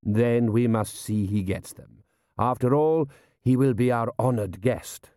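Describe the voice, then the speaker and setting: A man voicing a suave, mocking desert prince with a drawn-out, mock-polite manner in an audiobook. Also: mid-range voice, dark tone, dry recording, clean studio sound, close to an everyday voice. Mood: smoothly amused.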